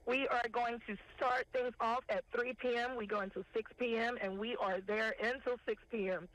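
A woman, a farmers market director calling in to a morning news show and listing products in a steady, unhurried way. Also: a little high. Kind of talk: phone interview, listing products. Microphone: telephone. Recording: tinny phone line.

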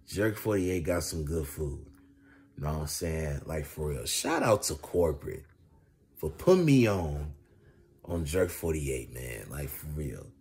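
A man's voice: deep voice, a little mellow. A young American man chatting casually in a vlog, telling a story with repetitive, emphatic slang and a conversational delivery. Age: young adult. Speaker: food reviewer. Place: indoors, reclining on a couch. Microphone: phone at arm's length. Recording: clear background noise, home phone recording.

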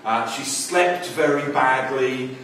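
A man in his fifties telling a personal story at a conference, animated and acting out quoted voices. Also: medium pitch, takes it slowly, a little hiss or hum. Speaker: conference speaker. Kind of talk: storytelling talk. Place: conference room with projector screen. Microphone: far camera, no mic. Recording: roomy echo from far off.

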